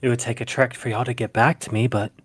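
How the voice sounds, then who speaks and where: A man's voice: mid-range voice, level tone, fast, husky, dry recording. A man talking casually as a player in a tabletop game.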